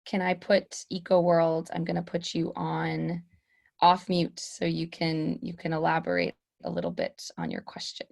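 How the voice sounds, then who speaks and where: A young woman moderating an online discussion over a laptop video call, pausing often with fillers as she reads out questions. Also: sits low, gravelly. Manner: hesitant, many fillers. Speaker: webinar moderator. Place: room with sloped ceiling, indoors. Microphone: laptop webcam video call.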